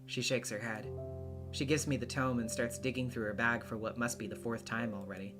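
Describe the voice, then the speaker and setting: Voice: medium pitch, fairly even intonation, fairly quick, music in the background. A solo voice actor giving a dramatic scripted narration of a visual novel.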